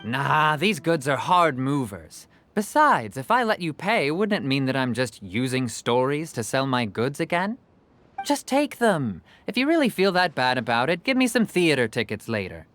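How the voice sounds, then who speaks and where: A man's voice: medium pitch, sing-song, moderate pace, fairly bright tone. An actor playing a jovial, persuasive merchant with salesman patter, in video game dialogue. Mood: insistent. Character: smooth-talking adult male merchant, jovial salesman. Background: game music.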